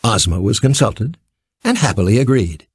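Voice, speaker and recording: A man reading a scripted children's story as an expressive, theatrical narrator. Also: fairly low pitch, normal speed, slightly rough, no echo, studio quality.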